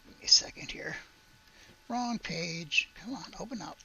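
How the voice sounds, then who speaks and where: A woman running a tabletop game as game master, narrating casually and haltingly, sometimes muttering to herself. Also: on the low side, lively intonation, sharp tone, husky. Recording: dull, slightly lo-fi audio.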